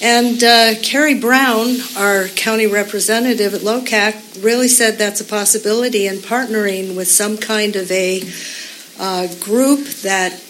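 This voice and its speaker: A woman's voice: medium pitch, fairly even intonation, unhurried, fairly bright tone, a little hiss or hum, rough recording. A woman giving an earnest, rambling public comment at a community meeting, with many fillers.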